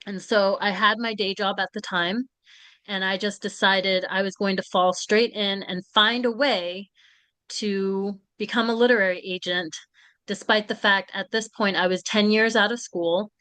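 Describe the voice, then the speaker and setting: A woman in her forties from Florida, a literary agent, talking in a measured, reflective way as an interview guest. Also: medium pitch, fairly even intonation, pushed and bright, clear, resonant tone, no echo. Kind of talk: conversational interview.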